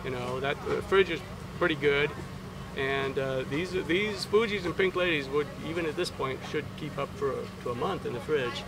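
A man in his sixties who grows apples, answering briefly and haltingly at his outdoor stall. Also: on the high side, background noise. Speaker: apple grower. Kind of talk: interview answers.

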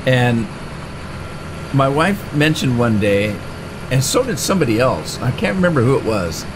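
A man's voice: mid-range voice, very animated, moderate pace. A middle-aged man hosting a farming game let's-play, talking in a chatty, rambling way with frequent fillers and restarts. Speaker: gaming channel host. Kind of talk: casual let's-play commentary. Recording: game engine noise clearly behind the voice. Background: tractor and engine sounds from the game.

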